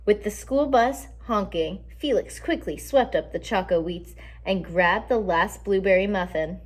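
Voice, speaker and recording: A woman reads a picture book aloud for young children in an expressive, teacherly way. Her voice sits at a medium pitch, and she adds simple explanations as she goes. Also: measured pace.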